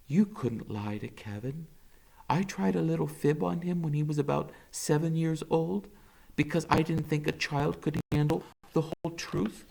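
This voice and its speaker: A man reading the part of a kind, tired woman doctor in a soft, half-whispered voice. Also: a little high, normal speed, creaky, pitched above the performer's own voice, rough recording. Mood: gentle.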